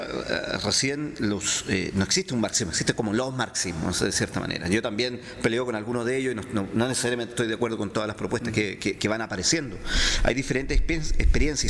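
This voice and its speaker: A man from Chile with a Chilean accent, a philosopher answering interview questions in a halting way, full of fillers and restarts. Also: fairly low pitch, a bit bright. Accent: Chilean Spanish. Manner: hesitant, many fillers and restarts. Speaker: philosopher guest. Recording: reverberant room, faint background noise.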